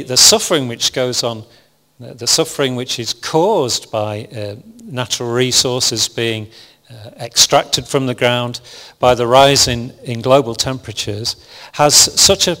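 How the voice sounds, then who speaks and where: A man preaching a sermon to a congregation, speaking calmly and evenly, with frequent restarts. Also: medium pitch, unhurried. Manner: calm, even, self-described monotonous delivery with frequent restarts. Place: church hall with congregation. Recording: long hall reverb.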